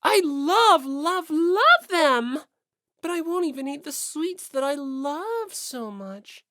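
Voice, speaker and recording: Woman voicing a vain, animated teasing teenage model girl. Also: high-pitched, takes it slowly, dry recording, clean studio sound, voice pushed up, heavily acted. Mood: gushing, vain. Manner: teasing, cutesy then scornful.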